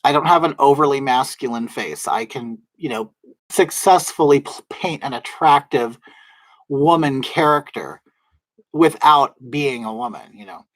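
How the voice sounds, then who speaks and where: Soft-voiced man chatting on a livestream. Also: mid-range voice, unhurried, no echo, rough recording. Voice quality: soft, feminine-sounding voice. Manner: rambling, chatty, many fillers and restarts. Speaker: livestream host. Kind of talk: casual livestream chat answering viewers. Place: at home in drag, wig on.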